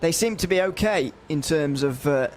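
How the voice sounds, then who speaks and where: A man's voice: on the high side, lively intonation, normal speed, slight background noise. A man giving race analysis as co-commentator, hesitant with many fillers and repeated words.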